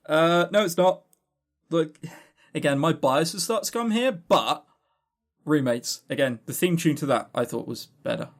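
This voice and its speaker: A man's voice: on the high side, expressive, moderate pace. A man co-hosting a podcast in casual chat, rambling along with sarcastic, dry humour. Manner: swears casually. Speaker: podcast co-host. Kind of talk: casual chat running through award lists.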